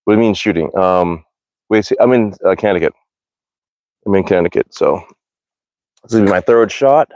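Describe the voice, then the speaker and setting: A man's voice: deep voice, fairly quick, pauses often, a little mellow, dry recording. An American man chatting casually on a livestream, rambling along in an informal, slangy way. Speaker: livestream host, hobby archer. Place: outdoors at a backyard archery range.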